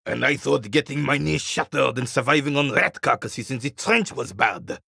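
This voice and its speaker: An actor playing a bitter, proud royalist war veteran in his eighties in a video game, with a rasping, weathered voice and a haughty, formal military way of talking. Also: mid-range voice, moderate pace, bright, forceful tone, no echo, studio quality, heavily acted. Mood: gruff, proud. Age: 80s. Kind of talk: video game character dialogue.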